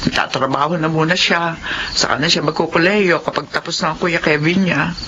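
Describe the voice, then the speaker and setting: A woman's voice: deep voice, fairly even intonation, a little fast, sharp tone. A woman playing a worried middle-aged wife and mother in a Filipino radio drama, anxious and fretful. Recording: dull audio, night crickets, background noise.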